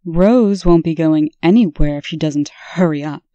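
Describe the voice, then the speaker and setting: A woman's voice: low voice, normal speed, mellow, no room sound, highs cut off, close to an everyday voice. A woman playing a strict, commanding, no-nonsense official and elder sister in a comic dub. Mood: exasperated.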